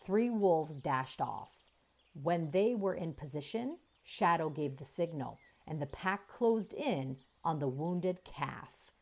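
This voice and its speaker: A woman reading a children's chapter book aloud as the channel's narrator, in slow, expressive storytelling. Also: low-pitched.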